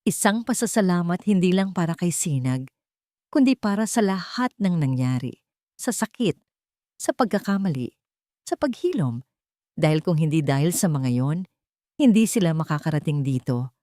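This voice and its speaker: A low-voiced woman storyteller reads a sentimental love story. Her delivery is measured and expressive, with lively intonation, and the recording is close and dry. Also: dry recording.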